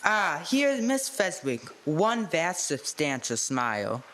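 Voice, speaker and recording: Man as bright, animated young apprentice. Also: fairly high pitch, takes it slowly, full character voice. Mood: cheerful. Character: cheerful eager young apprentice, chummy. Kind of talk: live radio-play style stage reading.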